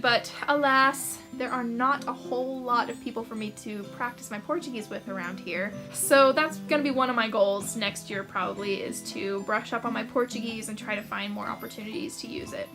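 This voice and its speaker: A young American woman telling personal stories to camera in a chatty, casual way, laughing often and filling with "like". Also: sits high. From United States. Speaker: author vlogger.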